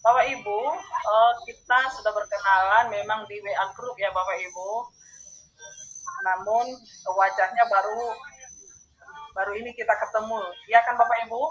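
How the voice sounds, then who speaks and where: Female online training facilitator, fifties, from North Sumatra, mid-pitched, friendly and addressing the group, video-call sound. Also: fairly even intonation, normal speed, pauses often, poor audio. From North Sumatra, Indonesia. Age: 50s. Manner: addresses listeners often, checking in.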